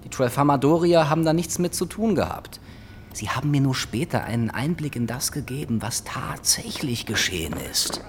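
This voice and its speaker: Guy acting a dreamy older dad in a radio drama, calm n earnest about odd stuff, voice moves up and down a lot. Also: mid-range voice, moderate pace, slight background noise, natural voice. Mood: mild, unruffled. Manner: calm, matter-of-fact, explaining earnestly. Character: mild, dreamy older widowed father who believes in aliens.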